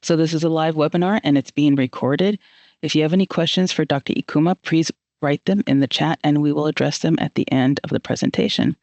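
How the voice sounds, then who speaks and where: A man moderates a live webinar, reading housekeeping notes in a plain, procedural way. His voice sits a bit high and has a gravelly edge. The sound is dry, with no room echo. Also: steady tone, fairly quick, a little mellow, muffled recording. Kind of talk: event announcements.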